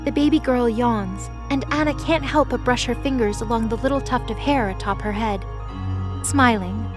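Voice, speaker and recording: A woman's voice: on the high side, moderate pace, music in the background. A woman reading scripted narration as the narrator of a fantasy audio drama.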